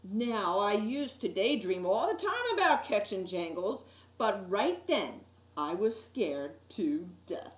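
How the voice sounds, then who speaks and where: Female children's story reader, mid-range voice, expressive and deliberate, recorded in a reverberant room. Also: mid-range voice. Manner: dramatic, deliberate storytelling with emphasised words. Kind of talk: expressive children's picture book reading. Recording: roomy, echoey sound.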